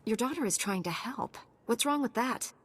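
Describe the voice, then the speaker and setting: An actress playing a calm, resolute female knight in a video game, speaking in a firm, matter-of-fact way. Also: fairly high pitch, normal speed, slightly rough.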